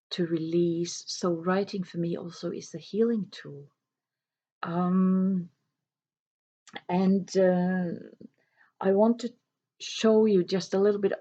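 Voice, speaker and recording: A woman whose first language is Norwegian, an author talking to camera in a slow, hesitant way with many pauses and fillers. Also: on the low side, a little mellow, lo-fi sound. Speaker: self-published author. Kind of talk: reflective talk to camera.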